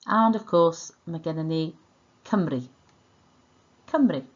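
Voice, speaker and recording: A woman's voice: sits low, lively intonation, slow pace, dull audio. A woman with a Welsh accent teaching an online language lesson, enunciating and repeating words in an instructional way.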